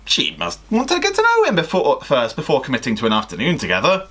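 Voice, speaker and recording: A young man chatting casually and reading stories aloud in an animated, jokey way. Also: fairly high pitch, quick pace. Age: young adult. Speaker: co-host of a fan fiction video. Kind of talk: casual chat and story read-aloud. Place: small indoor room at home.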